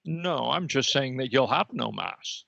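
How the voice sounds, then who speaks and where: Male actor as smug alien light being; courteous, measured, creaky and forceful, in a slightly dull recording. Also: medium pitch, animated, no room sound, exaggerated voice. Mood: smug, polite. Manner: polite, measured, faintly superior. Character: smug, urbane cosmic red-dot energy being. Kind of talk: improvised comedy podcast character interview.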